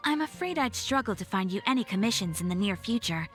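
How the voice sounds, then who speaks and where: A woman playing a calm, polite female guild receptionist in a video game, courteous and formal. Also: mid-range voice, fast, lightly acted. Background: game music.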